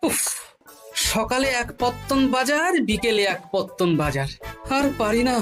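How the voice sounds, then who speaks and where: A man voicing a witty, suspicious male court jester in a cartoon, wry and quick with a quip. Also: extremely high pitch, sing-song, music in the background, full character voice. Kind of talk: cartoon voice acting.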